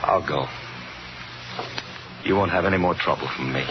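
Deep-voiced American actor as a doomed hunted man. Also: moderate pace, background noise, natural voice. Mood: somber resolve. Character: doomed, remorseful hunted man facing a vengeful mob. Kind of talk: 1950s radio thriller acting. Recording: dull 1950s radio broadcast with music.

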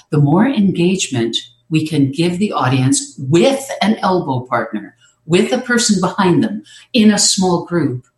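A speaking coach giving an instructive talk on presenting, measured and explanatory with occasional hesitations. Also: medium pitch. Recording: roomy echo.

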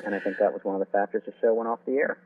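A man's voice: fairly low pitch, steady tone, racing, a little hiss or hum. A man being interviewed as an author and historian, giving detailed, wordy explanations that tend to ramble. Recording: tinny phone-like line.

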